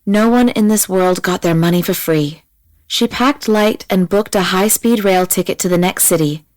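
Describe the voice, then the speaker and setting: A woman narrating a scripted audiobook in a measured, dramatic manner. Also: mid-range voice, no room sound, low-quality recording.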